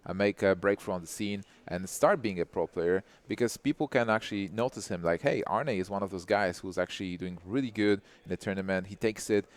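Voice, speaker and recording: Low-voiced, halting male esports caster. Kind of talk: live desk chat, unscripted.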